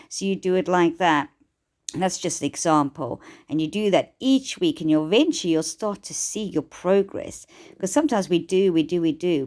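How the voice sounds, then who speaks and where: Lady life coach, low voice, bit croaky from a cold, chatty and encouraging, lots of restarts. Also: a little mellow. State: hoarse from a cold. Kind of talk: casual self-help talk to camera.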